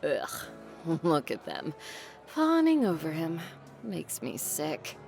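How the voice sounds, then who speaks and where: Lively, sardonic rich daughter. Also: female voice, sits low, slow pace, creaky, faint music behind, heavily acted. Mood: bitter, mocking. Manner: dry, teasing sarcasm. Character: sardonic, resentful adult daughter of a tycoon. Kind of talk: naturalistic audio drama acting.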